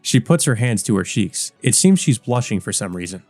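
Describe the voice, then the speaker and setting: A man's voice: fairly low pitch, sing-song, moderate pace, darkish tone, slightly rough, no room sound, soft background music. A man reading visual novel narration aloud as a let's play host.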